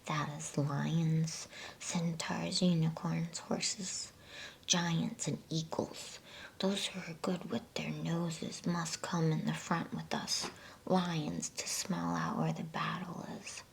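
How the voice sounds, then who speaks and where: A woman voicing a calm, commanding male lion leader, measured and authoritative, in a whispered bedtime storybook. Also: low-pitched, creaky, exaggerated voice, poor audio. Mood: resolute, calm.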